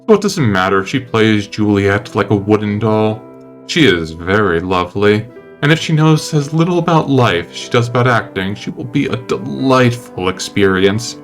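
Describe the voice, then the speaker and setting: A man's voice: on the low side, expressive, normal speed. Worldly aristocrat, played by a male narrator, cynical and languid, witty audiobook dialogue over music. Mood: languid, amused. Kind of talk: dramatic audiobook character reading. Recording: music under the voice.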